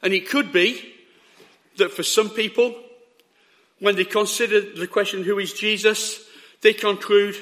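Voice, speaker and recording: Male preacher, sore-throated and hoarse, bright edgy tone, emphatic delivery. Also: sits high, steady tone, a little slow, pauses often. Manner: emphatic, checks in with the listeners. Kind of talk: sermon.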